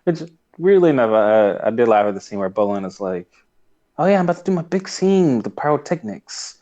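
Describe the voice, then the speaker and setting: A man's voice: mid-range voice, sing-song, a little fast, dark tone, muffled recording. A man co-hosting a podcast, recapping a show casually and somewhat hesitantly. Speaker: podcast co-host. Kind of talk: casual episode recap chat.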